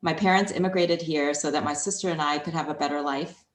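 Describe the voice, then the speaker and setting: Low-voiced woman from Hong Kong. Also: steady tone, fast, reverberant. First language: Chinese. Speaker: interview guest, HR executive. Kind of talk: prepared interview answers.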